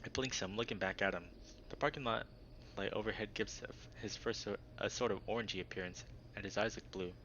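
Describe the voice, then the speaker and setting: Guy reading wry reserved furry hero narrating his story, low voice, dull mic. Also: steady tone, brisk, a bit bright, a little hiss or hum, natural voice. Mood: measured, wry. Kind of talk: first-person story narration.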